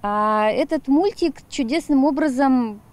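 Middle-aged woman interviewee, mellow higher voice. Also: unhurried. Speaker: blog author being interviewed. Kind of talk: spoken interview answer. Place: outdoors, grassy yard by apartment blocks. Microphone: handheld reporter's microphone.

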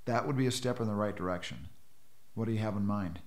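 A man's voice: on the low side, fast talker, close to an everyday voice. An actor playing a thoughtful, cautious technical planner, a man, in a radio drama. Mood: considering.

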